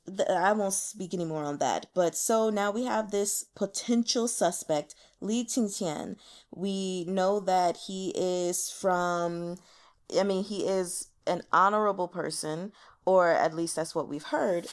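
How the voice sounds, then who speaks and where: A woman hosting a book vlog, giving casual, chatty commentary from her notes in an animated, slangy way with giggly asides. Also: fairly low pitch, a little slow.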